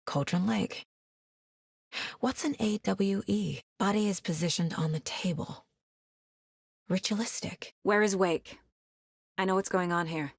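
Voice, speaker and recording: An actress playing a determined, wry federal agent investigating supernatural crimes in a video game, measured and matter-of-fact. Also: female voice, mid-range voice, vocal fry, slightly rough, no echo, clean studio sound. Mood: investigative. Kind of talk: scripted game dialogue, investigative monologue.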